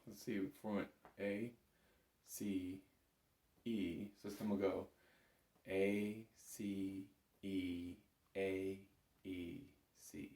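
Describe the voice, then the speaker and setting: A man's voice: low voice, little pitch movement, drawn-out words, long pauses, mellow. A man giving a casual spoken music lesson as an instructor, in an easygoing, reassuring manner. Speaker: bagpipe instructor. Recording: echoey room.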